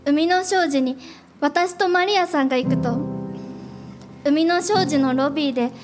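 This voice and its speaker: Young female junior employee, high-pitched, earnest and hesitant, radio drama with music underneath. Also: a little slow. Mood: puzzled, earnest. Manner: hesitant, polite. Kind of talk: radio drama acting and narration before a live audience.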